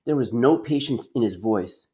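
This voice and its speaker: A man's voice: medium pitch, animated, normal speed, warm tone. A middle-aged man leading an interactive read-aloud for young children as their teacher, encouraging them and repeating his questions. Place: quiet workshop at home.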